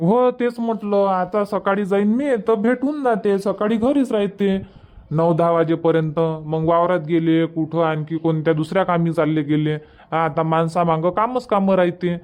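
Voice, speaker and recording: A man's voice: high-pitched, fairly even intonation, normal speed, a little mellow, clear, resonant tone, lightly acted. A man voicing an easygoing, stubborn village husband in a cartoon comedy, chatty and colloquial, with a rural Varhadi Marathi accent. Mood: explaining, mildly insistent. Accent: rural Varhadi Marathi from Vidarbha. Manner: casual, colloquial, explaining at length.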